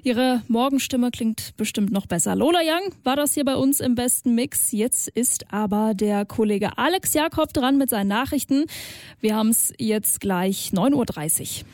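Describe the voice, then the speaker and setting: A woman's voice: fairly high pitch, animated, moderate pace, a little mellow, no echo. A woman presenting a radio morning show in a chatty style, recorded in a studio. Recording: music bed behind studio voice. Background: music.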